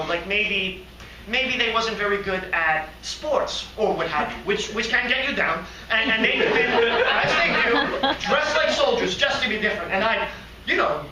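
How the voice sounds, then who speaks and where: Naive daydreaming hotel receptionist for a comic stage monologue, male performer: high-pitched, very sharp voice, halting and rambling. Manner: halting, rambling, hesitant then excitable. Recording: roomy, distant mic, faint noise.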